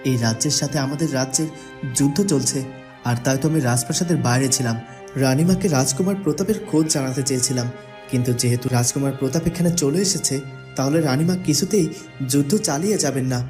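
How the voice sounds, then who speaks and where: Man acting mellow-voiced, earnest young prince. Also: mid-range voice, fast talker, soft background music, lightly acted. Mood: earnest, reassuring. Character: kindly, astonished young fairy-tale prince. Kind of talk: Bengali cartoon voice acting.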